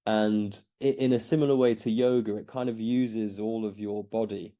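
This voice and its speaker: A man's voice: lowish voice, steady tone, normal speed, mellow. A man in his thirties who grew up in Belgium, answering interview questions in a casual, hesitant way with many restarts. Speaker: podcast guest.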